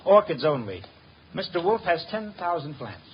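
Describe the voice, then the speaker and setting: Wisecracking detective's legman, slangy and wry, lightly high voice, old radio drama, muffled sound. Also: very animated, moderate pace. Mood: breezy, wry. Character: wisecracking, streetwise male detective's assistant and narrator. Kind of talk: old-time radio mystery acting. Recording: dull, noisy old radio recording.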